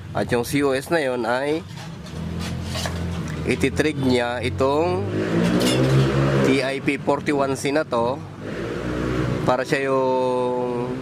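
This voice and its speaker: A Filipino man presents a hands-on technical demonstration in an informal style. His pitch sits in the middle range for a man. He speaks in halting pieces, thinking aloud as he points to each part, with clear vehicle and outdoor noise behind him. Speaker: electronics hobbyist presenter.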